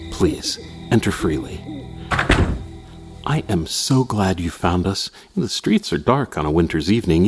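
A low-voiced, grandly zealous asylum doctor in a gothic radio drama. He is courteous to the point of unctuousness and swoops widely in pitch. Music plays behind him. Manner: theatrical, overly courteous, formal.

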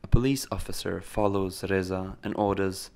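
A man narrating a scripted story in a measured, solemn way. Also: deep voice, steady tone, creaky, a little mellow. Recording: faint noise and ambience behind.

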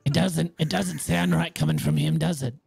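A man's voice: on the high side, normal speed, creaky, darkish tone. A man with an Australian accent, joking and teasing in character during a roleplay game session. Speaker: roleplay player.

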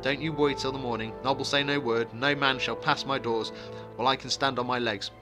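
Guy doing a nervy chatty village innkeeper, forgetful and rustic, storybook stuff, pitched up a bit over music. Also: fairly even intonation, brisk, close to an everyday voice. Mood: worried, staunch. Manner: flustered, rambling rustic talk. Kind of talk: fantasy audiobook character voice. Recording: music behind the voice.

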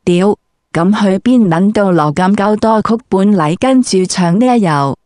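Woman, brash and profane comic interviewer, mid pitch, dry recording. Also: unhurried, mellow, no echo. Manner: foul-mouthed, brash. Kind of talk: crude comic interview, scripted.

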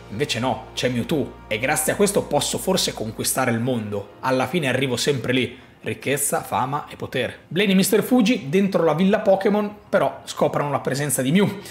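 A man gives an animated, emphatic explainer monologue in a conversational storytelling way. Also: mid-range voice, reverberant. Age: 30s. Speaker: video host and commentator. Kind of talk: enthusiastic explainer monologue to camera. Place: small furnished room at home, indoors.

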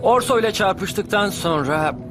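A man's voice: high-pitched, lively intonation, a little slow, music in the background. A man playing a self-assured, mysterious teenage rival in a dubbed anime, composed and confident. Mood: confident, cool.

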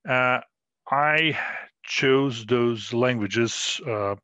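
A middle-aged man presenting his research in a recorded conference talk, measured and full of fillers and restarts. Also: mid-range voice, pushed and bright, no room sound. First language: Croatian. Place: small room indoors.

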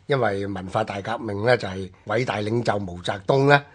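A male radio co-host with a Hong Kong Cantonese accent speaks in a low, husky voice. He comments on history conversationally, laying out examples one after another. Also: a little fast, a bit bright.

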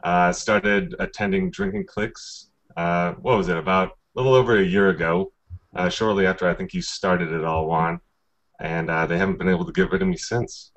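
A man in his thirties from Texas chats over a webcam from a room at home, easygoing and dropping in lots of fillers. His voice is very deep. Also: steady tone, normal speed. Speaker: panel guest, photographer. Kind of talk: casual video call chat. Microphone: webcam video call.

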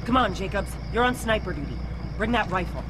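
A woman's voice: medium pitch, expressive, a little fast, lightly acted. An actress playing a tough young female resistance fighter in a video game, urgent, terse and commanding. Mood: terse, guarded. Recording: battle noise and music behind.

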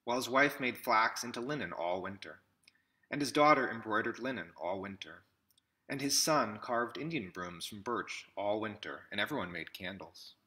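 A man in his thirties with a bright, forward voice, pitched a bit low. He reads a children's picture book aloud, setting out short phrases one by one with pauses between them. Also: expressive. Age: 30s. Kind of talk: read-aloud storybook narration. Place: small indoor room with classroom wall displays.

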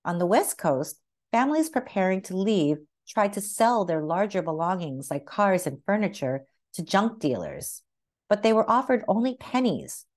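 A woman reading a children's picture book aloud as narrator, with expressive, carefully phrased storytelling. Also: medium pitch, moderate pace.

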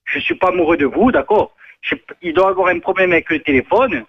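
A man in a heated argument over the telephone, emphatic and repetitive, quick to anger. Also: sits high, animated, slightly rough. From Corsica, France. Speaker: prank call victim. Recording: dull lo-fi phone line.

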